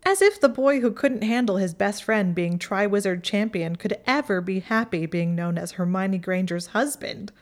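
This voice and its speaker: A woman's voice: mid-range voice, expressive, moderate pace, pitched above the performer's own voice, close to an everyday voice. A woman voicing a haughty, mocking young witch who talks down to others in a condescending tone. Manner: condescending, sneering.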